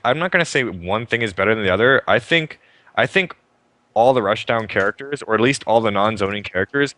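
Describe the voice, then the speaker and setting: A young man hosting a live stream show, talking fast and upbeat about a fighting game and often restarting his sentences. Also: on the low side, bright, forceful tone, no echo. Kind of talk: casual game commentary.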